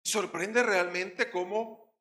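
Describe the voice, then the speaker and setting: A male pastor with a Puerto Rican accent preaching a sermon, swinging between loud stressed words and quiet drops. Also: high voice, steady tone, slow pace, echoey room.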